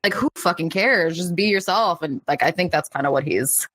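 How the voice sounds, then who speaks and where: A woman's voice: lowish voice, fairly quick, fairly bright tone, dry recording. A young woman co-hosting a podcast who teases with dry sarcasm. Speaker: podcast co-host. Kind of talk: casual podcast banter.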